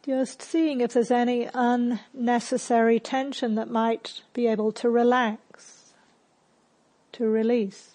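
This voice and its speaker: Female meditation guide, fairly high voice, very slow delivery, calm gentle instruction with spacious pauses. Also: low-quality recording. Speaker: meditation teacher.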